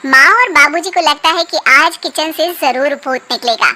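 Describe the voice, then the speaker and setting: A woman's voice: sits high, normal speed, heavy background noise. A woman narrating a scripted comic cartoon story as its storyteller.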